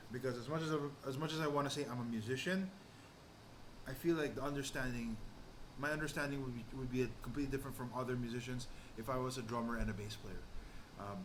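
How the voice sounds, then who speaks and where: A man's voice: medium pitch, low-quality recording. A man talking casually as a musician hosting a vlog, rambling and often repeating or correcting himself. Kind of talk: casual storytelling and drum kit tour. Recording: clear background noise and room ambience.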